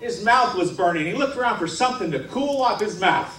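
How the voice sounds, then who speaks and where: A man telling a comic tall tale on stage in a theatrical, mock-serious way with dramatic pauses. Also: high voice, expressive, fairly bright tone. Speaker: humorous contest speaker. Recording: roomy hall, distant mic, faint noise.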